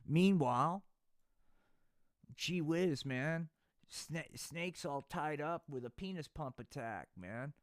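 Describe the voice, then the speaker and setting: A man's voice: on the high side, animated, slow pace, no echo, clean studio sound. A man narrating an improvised live puppet show, rambling and crude, tossing in "man" often.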